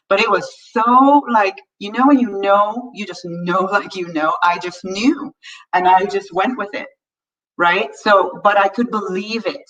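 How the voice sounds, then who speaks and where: Female live-stream coach, mid-pitched, earnest and chatty, slightly distant muffled mic. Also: fairly bright tone. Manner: earnest, reads viewer comments aloud, frequent "right?". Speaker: money coaching host. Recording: distant mic, dull lo-fi sound.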